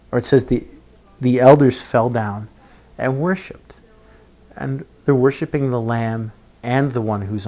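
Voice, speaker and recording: A man with a gravelly, creaky voice teaches scripture in an informal sermon. His pitch sits in a middle range for a man. He works through his thoughts haltingly, pausing to rephrase and often tagging points with a questioning check. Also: mellow. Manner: halting, thinking aloud, often adds "right?" to check. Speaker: preacher teaching a Bible passage.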